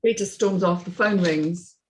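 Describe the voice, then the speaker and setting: A woman's voice: fairly low pitch, unhurried, a little hiss or hum, poor audio. Woman narrating a screenplay. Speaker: stage directions narrator in a script read-through. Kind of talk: scripted narration.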